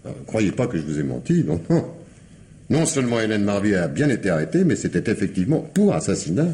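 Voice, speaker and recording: Deep, swooping, sardonic police commissioner. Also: male voice, very animated, fast talker, mellow, a little hiss or hum. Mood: assured. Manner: wry, insistent, theatrically hesitant questioning. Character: shrewd, sardonic male police commissioner interrogating a suspect. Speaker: actor in a radio mystery. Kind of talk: French radio crime drama acting.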